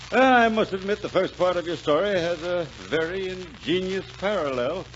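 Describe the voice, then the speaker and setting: An actor playing an urbane, skeptical gentleman with formal, measured speech in 1940s radio style. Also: sits high, slight background noise. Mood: dryly amused. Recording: muffled 1940s radio recording.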